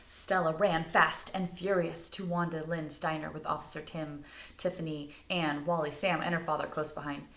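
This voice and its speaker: A woman's voice: sits low, little pitch movement, moderate pace, fairly bright tone. A woman reading a storybook aloud to children, stopping to put questions to them. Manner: engaging listeners with questions. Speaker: storybook reader. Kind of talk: animated read-aloud to children. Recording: echoey room.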